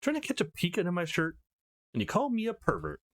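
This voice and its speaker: Brash, cheeky teen girl played by a man, crude swagger, very animated pitch, visual novel character. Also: quick pace, creaky, heavily acted. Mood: cheeky, teasing. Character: brash, foul-mouthed redheaded teenage girl, teasing and bragging. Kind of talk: reading visual novel dialogue aloud.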